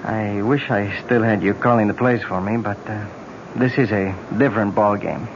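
A man playing a courteous, solemn young prince in danger, speaking with measured politeness in a 1970s radio drama. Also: lowish voice, natural voice. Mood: grave. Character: grave, courteous young prince marked for death. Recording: muffled, very noisy old radio recording.

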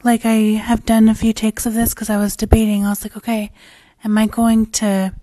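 Hoarse, raspy woman podcast host. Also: on the high side, flat delivery, moderate pace, warm tone, dry recording, poor audio. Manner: rambling and candid, with frequent fillers and restarts; swears casually. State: hoarse, losing her voice. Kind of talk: casual solo podcast chat.

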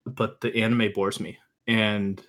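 A man's voice: lowish voice, level tone, measured pace, a bit bright. A man speaking as a podcast guest, giving emphatic opinions in an informal, conversational way. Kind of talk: casual opinionated chat.

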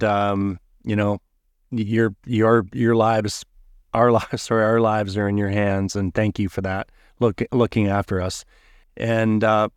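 A man hosting a conversational interview in a polite, earnest, halting way. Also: on the low side, smooth, dry recording, studio quality. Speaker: podcast host, pilot.

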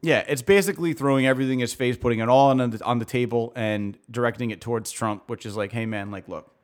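Man, podcast co-host, mid-pitched voice, relaxed opinionated chat. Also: fast. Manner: relaxed, opinionated, filler-heavy talk. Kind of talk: casual chat.

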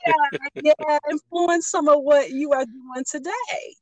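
A woman's voice: sits high, slow, darkish tone, no room sound. A middle-aged woman with an American accent, hosting a conversational radio interview. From Pontiac, Michigan, United States. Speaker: radio show host.

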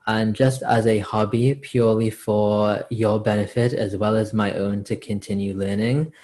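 A man's voice: on the low side, fairly even intonation, normal speed, a little mellow. A man from Trinidad who grew up in South Florida, speaking as a podcast guest in a friendly, easygoing, conversational way. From born in Trinidad, raised in South Florida. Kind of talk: podcast interview.

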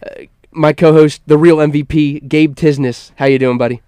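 A man's voice: a little high, steady tone, moderate pace, warm tone, clean tone, dry recording. A young man runs a college sports talk radio show, his nose a little stuffed up. He keeps an upbeat broadcast patter and often trips over his words and restarts. The sound is dry and close, with no room around it. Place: campus radio studio.

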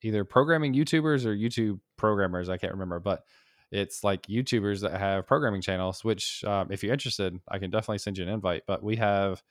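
A man's voice: lowish voice, fast, no room sound, studio quality. A man appearing as a podcast guest, chatting enthusiastically and saying "like" a lot. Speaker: guest, developer and YouTuber. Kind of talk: casual podcast conversation.

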